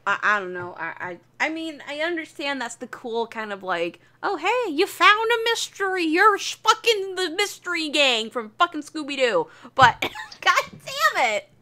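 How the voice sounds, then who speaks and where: A woman's voice: sits high, very animated, moderate pace, bright, forceful tone. A woman co-hosting a casual podcast, speaking hesitantly and apologetically, with casual swearing. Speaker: podcast co-host.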